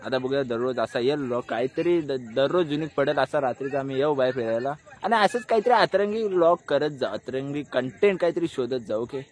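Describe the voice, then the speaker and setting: A man's voice: mid-range voice, animated, brisk, few pauses. A man with a Maharashtrian Marathi accent, chatting casually as a vlogger to camera and to friends. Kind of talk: casual chat and vlog commentary.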